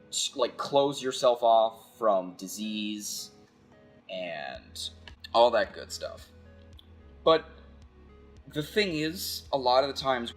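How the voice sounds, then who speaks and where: A man with a fairly low voice muses casually, as in a video essay. He feels his way through sentences conversationally, with faint music behind him. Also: a bit bright. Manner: halting, conversational, thinking aloud. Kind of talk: casual philosophical monologue.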